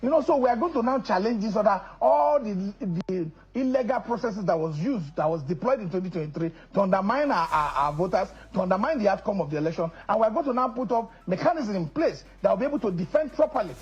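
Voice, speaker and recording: A man's voice: high-pitched, lively intonation, fast talker, hardly any pauses, slightly rough, highs cut off. A middle-aged man from northern Nigeria with a Nigerian accent, a political guest in a heated interview who insists on his point and often repeats words as he presses it.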